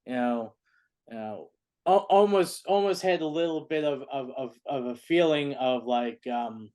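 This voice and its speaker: Man, podcast co-host, casual, rambling, mid pitch. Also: a little slow, dark tone. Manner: rambling, searching for words. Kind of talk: casual chat.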